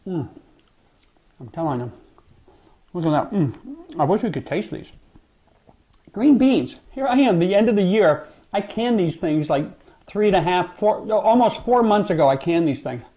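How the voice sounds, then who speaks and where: Upbeat man in his forties, animated. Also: sits high, moderate pace, long pauses, highs cut off. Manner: animated, talks with his hands, enthusiastic. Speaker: gardening vlogger. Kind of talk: casual talk to camera. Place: dining room at home, indoors.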